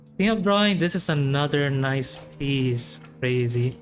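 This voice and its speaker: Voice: medium pitch, expressive, measured pace. A game streamer giving casual commentary in short, informal, musing remarks. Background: faint game music.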